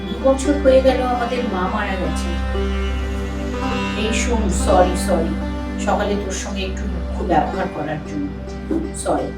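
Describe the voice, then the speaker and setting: A woman playing a troubled, protective elder sister in an audio drama, with emotional, expressive delivery. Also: medium pitch, moderate pace, long pauses, lightly acted. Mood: grave, sorrowful. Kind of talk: Bengali audio drama acting. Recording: echoey room, distant mic, music bed.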